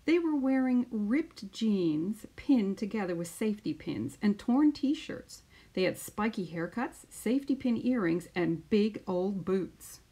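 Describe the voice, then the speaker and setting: A woman in her fifties, a teacher, speaking to pupils and reading a children's picture book aloud with deliberate, emphatic phrasing. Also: medium pitch, animated, mellow, slightly rough. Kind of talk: children's storybook read-aloud.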